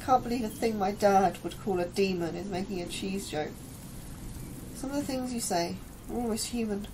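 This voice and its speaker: An actress playing an anxious, troubled woman scientist in an audio drama, confiding her fears in a hesitant, halting way. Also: medium pitch, noisy background, lightly acted. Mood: uncertain. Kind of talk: audio drama acting, diary-like monologue and dialogue.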